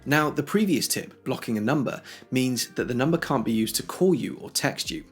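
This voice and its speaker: A man presents a how-to video in a slightly husky, mid-pitched voice. He walks through instructions step by step in a conversational manner. Faint music plays behind him. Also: lively intonation, moderate pace. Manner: clear step-by-step explaining, conversational. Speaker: tech tutorial host.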